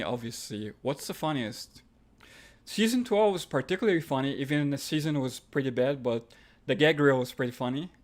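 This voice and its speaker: A man from Egypt with an Egyptian accent, hosting a live stream and reading out viewer questions, then answering them offhand. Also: medium pitch. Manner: reads questions aloud then answers offhand, with fillers and restarts.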